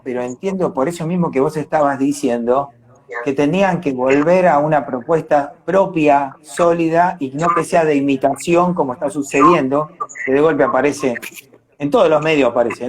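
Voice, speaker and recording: A man's voice: fairly high pitch, moderate pace, poor audio. An Argentine man giving a casual interview on a live video call, earnest, repeating words as he builds his points. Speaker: radio drama actor, interview guest. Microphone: live social media video call.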